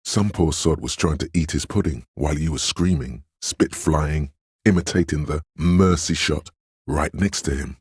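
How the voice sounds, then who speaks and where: Very deep husky inner voice. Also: male voice, moderate pace, dry recording, clean studio sound, exaggerated voice. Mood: wry, observant. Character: inner voice of empathy in a troubled detective's mind. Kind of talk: scripted second-person game narration.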